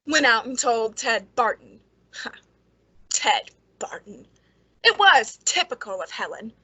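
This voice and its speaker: High, brittle, nervous spinster acted by a woman. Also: very animated, takes it slowly, pushed and bright, breathy edge, no room sound, heavily acted. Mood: brooding narration. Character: lonely, frightened, unstable spinster confiding a dark secret. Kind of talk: old-time radio suspense acting.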